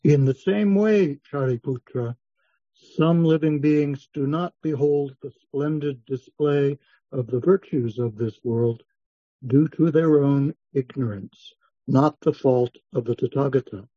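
Guy reading as calm wise buddha in a scripture play, soft mellow voice, close dry but muffled audio. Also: mid-range voice, unhurried, dry recording, natural voice. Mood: serene teaching. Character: calm, authoritative Lord Buddha, wise male teacher. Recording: dull, lo-fi sound.

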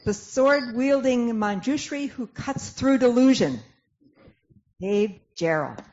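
A woman's voice: on the high side, animated, slow. A woman narrator giving formal scripted narration and announcements for a dramatic reading. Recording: dull lo-fi sound, clear background noise.

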